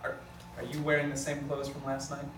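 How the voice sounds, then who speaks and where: A man's voice: medium pitch, completely flat delivery, brisk, a bit bright, soft background music, lightly acted. A man playing a blunt, teasing, easygoing lawyer in a comedic script read. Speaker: actor at a script table read. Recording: echoey room, distant mic, noisy.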